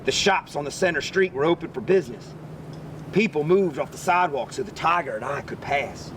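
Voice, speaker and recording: A man reading a children's book aloud expressively like a teacher, pausing to quiz his listeners. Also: expressive. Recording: distant mic, rumbly background noise.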